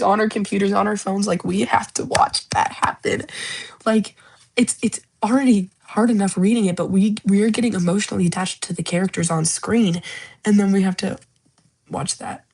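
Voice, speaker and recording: A woman's voice: medium pitch, darkish tone. Young woman, livestream host; gushing, emotional, rambling chat. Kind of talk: casual livestream chat about a book series.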